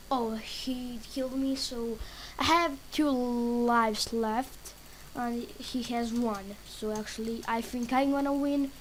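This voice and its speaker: Slow, halting woman gamer. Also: a little high, a little hiss or hum, rough recording. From Serbia. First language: Serbian. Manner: halting, broken English with many pauses and fillers. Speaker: gaming channel creator. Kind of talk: casual gameplay commentary.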